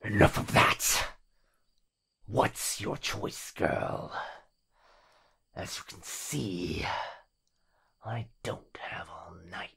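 A sinister living shadow in a yandere ASMR roleplay. The voice is very breathy and husky, often sinking toward a whisper. It drawls slowly with a mocking, possessive tenderness and predatory menace. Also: male voice, sits low, pushed and bright, full character voice. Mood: mocking, gloating.

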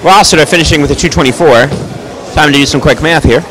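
A man calls a bowling match live with a loud, projecting voice that sits a bit high. He tosses in chatty, joking asides between the calls. The clatter of a busy bowling alley carries clearly behind him. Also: fast, background noise. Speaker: bowling commentator. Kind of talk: live play-by-play commentary.

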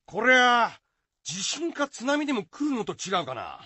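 An actor playing an anxious, rough fisherman in a radio folk tale. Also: very high-pitched, wildly swooping pitch, measured pace, sharp tone, no room sound, heavily acted. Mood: alarmed.

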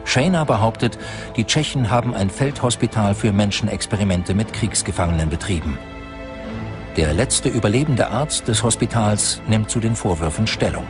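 A man's voice: lowish voice, moderate pace, music in the background. A man narrating a documentary from a script.

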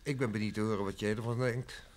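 Faintly noisy vintage radio drama recording. An obliging, businesslike male publisher played by an actor chats affably in a fairly low voice. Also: fairly even intonation, fast talker, close to an everyday voice. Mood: affable, obliging. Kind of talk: old Dutch radio drama acting.

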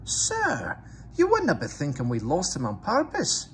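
A man's voice: sits high, huge pitch swings, measured pace, a bit bright, slight background noise, pitched above the performer's own voice, full character voice. A man voicing a cheeky, mock-innocent Scottish twin steam engine from a storybook. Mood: pained, solemn.

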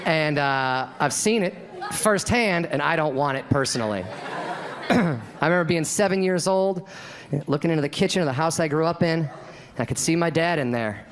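A man in his thirties doing a deadpan stand-up routine on a comedy club stage, acting out the voices of the people in his stories, into a handheld mic. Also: a little high, normal speed, background noise. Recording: live club recording with crowd laughter. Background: audience laughter.

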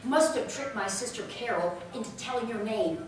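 A woman's voice: fairly high pitch, normal speed, a crowd in the background, close to an everyday voice. A woman voicing a worried young nightclub singer in an old-time radio play, asking question after question with urgency. She is recorded from far back in an echoey hall with music. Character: anxious young woman singer mixed up with spies. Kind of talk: live 1940s radio play acting.

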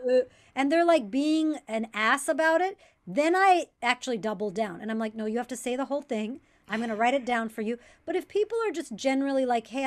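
A woman comedian whose first language is Tamil, telling stories with lively energy in a casual interview over a video call. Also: a little high. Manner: lively, animated storyteller. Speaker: comedian guest.

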